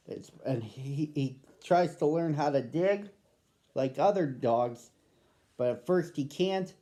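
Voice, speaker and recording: A man introduces a picture book to children, speaking slowly and chatting with them directly. His voice sits a little high, and he asks them simple questions before he starts reading. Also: dark tone. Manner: friendly, addresses children directly. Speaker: storybook reader.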